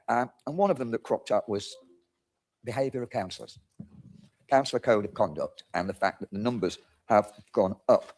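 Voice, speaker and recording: A middle-aged male councillor with a fairly low voice. He speaks quickly in a formal meeting style, addressing the room as an official would.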